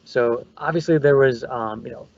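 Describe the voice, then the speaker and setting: A man's voice: medium pitch, moderate pace, highs cut off. A man presenting as a guest consultant, talking casually from a small soundproof phone booth. He is good-humored and laughs easily. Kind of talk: casual conversation.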